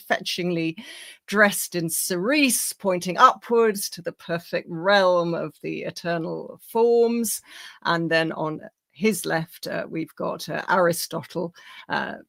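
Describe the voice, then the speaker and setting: A woman lecturing on philosophy, her voice croaky as she recovers from illness, speaking in a lively, wry way with many fillers. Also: fairly low pitch.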